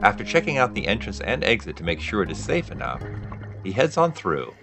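Low-voiced man narrating, lively intonation. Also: a little fast, creaky, music in the background. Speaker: narrator, scuba diver. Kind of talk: scripted nature documentary narration.

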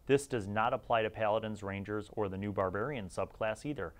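Low-voiced man reviewing old games. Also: a little fast. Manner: informal, slangy, chatty asides. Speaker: host of a retro tabletop gaming review series. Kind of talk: casual review with read-aloud magazine excerpts.

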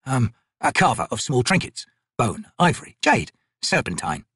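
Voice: medium pitch, very animated, fairly bright tone, breathy edge, no room sound, studio quality. A narrator playing a meek, nervous old male trader in an audiobook, hesitant and fussily formal. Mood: meek, earnest. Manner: slow, hesitant, formal and fussy.

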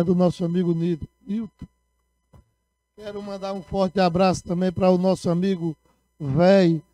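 A middle-aged man giving a council floor speech into a handheld microphone, sending repetitive shout-outs to rural communities while gesturing widely, with a sore throat. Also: high voice, dry recording. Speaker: city councilman. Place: municipal council chamber, indoors.

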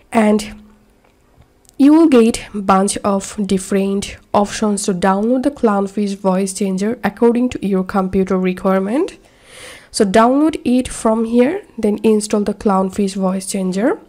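Slow, mellow woman giving tutorial steps. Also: medium pitch. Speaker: software tutorial presenter. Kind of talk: step-by-step tutorial narration.

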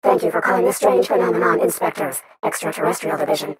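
A woman voicing a deadpan recorded phone operator for an alien-investigation agency. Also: low-pitched, quick pace, crowd noise, natural voice. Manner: deadpan, recorded-message delivery. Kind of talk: game voice acting.

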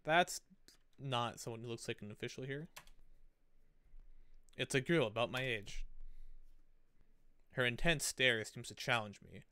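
A man's voice: medium pitch, unhurried, long pauses, clear, resonant tone, no echo. A man streaming a visual novel, reading it aloud and adding his own casual asides. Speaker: game streamer. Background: loud game music.

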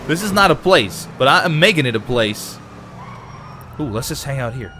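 A man's voice: mid-range voice, normal speed, over music. Chatty man gaming with a cold. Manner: chatty, excitable, talks to viewers. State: has a cold, a bit nasal. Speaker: let's play host. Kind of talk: casual gameplay commentary.

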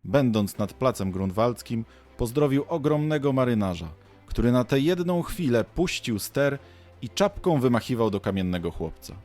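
A man's voice: mid-range voice, expressive, normal speed, a little mellow. A man narrating an audio drama as a storyteller, reading scripted prose. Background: faint music.

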